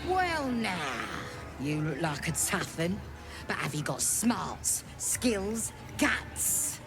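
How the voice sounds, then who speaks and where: An actress voicing a gruff, blunt goblin recruiter with slangy speech. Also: female voice, medium pitch, big pitch swings, slow pace, over music.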